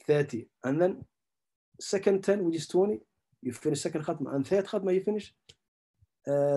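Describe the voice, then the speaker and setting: A man's voice: on the high side, a little mellow. A middle-aged man from Somalia, teaching a religious lesson in English. He explains step by step, repeats key terms and puts questions to his listeners. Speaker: Islamic teacher.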